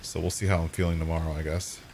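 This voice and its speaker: Hoarse low-voiced gaming streamer. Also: male voice, fast, a little hiss or hum. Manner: rambling, self-correcting, relaxed. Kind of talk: casual live-stream chat while playing.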